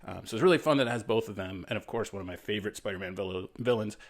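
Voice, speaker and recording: A man's voice: fairly low pitch, racing. An American man, a writer reading his own children's story aloud in a casual, self-effacing way with chatty explanatory asides.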